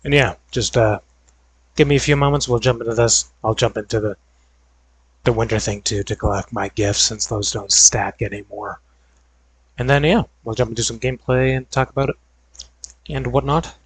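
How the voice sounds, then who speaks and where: A man's voice: medium pitch, fairly even intonation, normal speed, long pauses, husky, dry recording, low-quality recording. Young man with a tiring voice. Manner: rambling, filler-heavy and hedging. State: voice going hoarse. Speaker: video creator. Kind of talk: casual gaming vlog chat.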